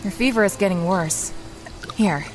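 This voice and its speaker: A woman's voice: medium pitch, normal speed, close to an everyday voice. Female, mysterious snake-woman nurse-captor played by a woman, audio drama, long reverb, faint background noise. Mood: concerned, soft-spoken. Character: mysterious snake woman, nursing her captive.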